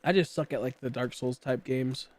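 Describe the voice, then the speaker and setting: A man's voice: mid-range voice, fairly even intonation, normal speed, dry recording. A man in his late twenties chatting casually while streaming a game, rambling and joking about himself as he talks to viewers and a friend. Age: 20s. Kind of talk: casual livestream chat while gaming.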